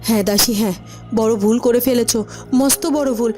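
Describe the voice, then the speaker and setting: A woman's voice: on the high side, steady tone, a little fast, music in the background, voice pushed down, natural voice. A woman voicing an anxious, protective queen mother in a cartoon, scolding with agitated, repeated questions. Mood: worried, scolding. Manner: agitated, repetitive, reproachful questioning. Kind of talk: melodramatic cartoon acting.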